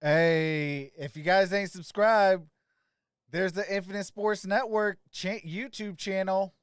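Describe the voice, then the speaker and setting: A man's voice: sits high, steady tone, slow pace, clear, resonant tone, no room sound, studio quality. A man hosting a sports livestream, mixing casual, friendly chat with viewers and informal play-by-play commentary. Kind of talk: casual live stream chat and game commentary.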